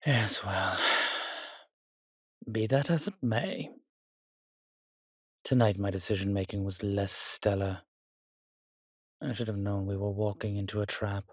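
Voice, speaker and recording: Man playing a slow, low-voiced suave vampire. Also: steady tone, dry recording, clean studio sound. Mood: rueful. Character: weary, suave male vampire, protective and darkly charming. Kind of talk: intimate roleplay audio drama addressed to the listener.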